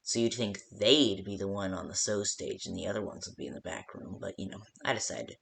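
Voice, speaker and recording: A man playing a hesitant, creaky-voiced game phone guide. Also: sits low, fairly quick, a bit bright, full character voice. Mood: casual, hesitant. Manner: hesitant, rambling, full of stops and second thoughts. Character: rambling, hesitant male phone guide briefing a night guard. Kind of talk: improvised-sounding instructional phone call for a game.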